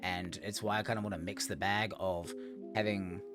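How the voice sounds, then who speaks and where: Hoarse man giving a channel update. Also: low-pitched, steady tone, normal speed. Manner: rambling, with many ums and restarts. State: hoarse, has lost his voice from a cough. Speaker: gaming streamer and YouTuber. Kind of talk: casual channel update to viewers. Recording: guitar music playing under the voice.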